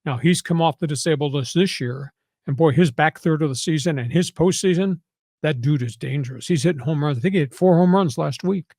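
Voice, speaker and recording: Older host, gravelly voice, dry sound, sure of his opinions and fond of old stories. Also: on the high side, a little fast, darkish tone, no echo. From New York. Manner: opinionated, storytelling. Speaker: sports talk host. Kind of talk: casual sports talk.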